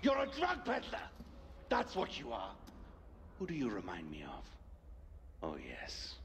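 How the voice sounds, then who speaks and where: Deep, indignant rebel leader. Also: male voice, huge pitch swings, a little slow, a bit bright, slight background noise, full character voice. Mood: indignant, accusing. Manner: accusing, emphatic. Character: stern, indignant traditionalist male rebel leader. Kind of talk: video game cutscene acting.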